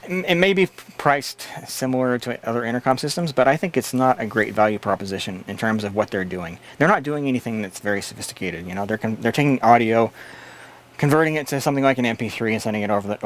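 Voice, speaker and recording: A man's voice: on the low side, slight background noise. A man hosting a casual tech livestream, answering chat questions and explaining things in a blunt, opinionated way.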